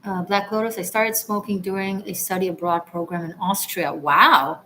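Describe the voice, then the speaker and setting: A woman's voice: medium pitch, moderate pace, slight background noise. A woman from Israel hosting a casual livestream, chatting loosely and answering viewers' comments with frequent restarts. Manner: relaxed, chatty, with frequent restarts and soft trailing asides. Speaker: livestream host, tarot reader.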